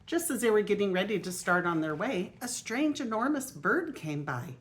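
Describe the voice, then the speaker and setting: An older woman, a grandmother, reading a children's picture book aloud in a deliberate way with emphasized story words. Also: mid-range voice, sing-song. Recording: faint noise on homemade video.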